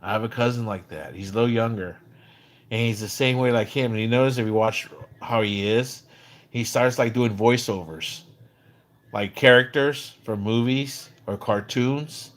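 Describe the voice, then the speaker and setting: A man's voice: mid-range voice, normal speed. A man livestreaming in a casual, slangy way, rambling conversationally as he tells stories about people. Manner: acts out quoted dialogue when recounting. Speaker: live streamer. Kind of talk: casual livestream chat about another streamer.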